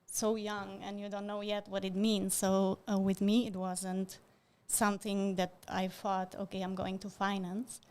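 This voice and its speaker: Flat-voiced woman, thoughtful guest. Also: medium pitch, a little slow, hardly any pauses, clean tone. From Slovakia. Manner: thoughtful, many fillers and restarts. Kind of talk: interview answers.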